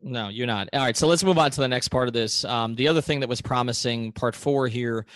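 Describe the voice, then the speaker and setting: A man's voice: mid-range voice, a little fast, fairly bright tone, no echo. Man talking sports, dry close sound. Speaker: sports podcast host. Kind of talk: conversational sports analysis.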